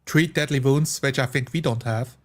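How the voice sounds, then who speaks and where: A man's voice: mid-range voice, normal speed. A man talking casually in a group discussion as a tabletop role-playing player.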